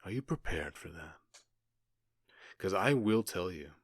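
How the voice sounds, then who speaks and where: A man playing a menacing, gloating outlaw gang leader with a Southern US accent, mocking and deliberate, in an ASMR-style audio drama. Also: low voice, expressive, dry recording, studio quality. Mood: taunting, sly. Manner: mocking, deliberate, drawn-out threats.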